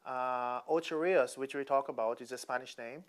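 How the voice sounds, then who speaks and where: A Jamaican man with a smooth, resonant voice at a middling pitch, talking as a guest chef in a studio kitchen. He explains slowly and haltingly, often pausing with ums. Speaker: chef, cooking show guest. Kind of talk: casual explaining on a cooking show.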